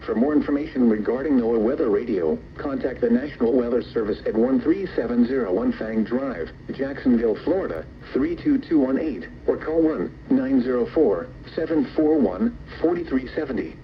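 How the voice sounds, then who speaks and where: A man's voice: mid-range voice, animated, normal speed, muffled recording. A synthetic man's voice reading a formal, scripted public service announcement over the radio. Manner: formal, impersonal. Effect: radio broadcast. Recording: very noisy weather radio reception.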